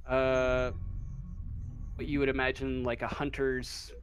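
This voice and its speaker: A man improvising tabletop game narration as game master, informal and halting as he feels his way through descriptions. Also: medium pitch, completely flat delivery, slight background noise.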